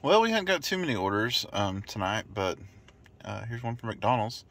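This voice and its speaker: A man making offhand, low-key remarks from the passenger seat of a car. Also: very animated, normal speed, fairly bright tone, soft background music. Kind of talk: casual chat. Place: inside a car.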